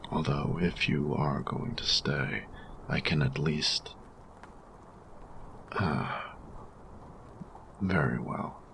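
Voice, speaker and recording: A man playing a grumpy, workaholic artificer in an audio roleplay, tired and reserved, speaking formally and precisely with hesitant pauses in a gravelly voice. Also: a bit bright, slight background noise, full character voice. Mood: weary, awkward. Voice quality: gravelly, very low voice. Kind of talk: audio roleplay addressed to the listener.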